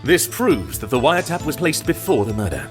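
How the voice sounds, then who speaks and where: An actor playing a haughty, composed rival prosecutor in a video game drama, formal, pompous and declamatory. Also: male voice, medium pitch, very animated, fairly quick, soft background music, lightly acted. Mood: assertive.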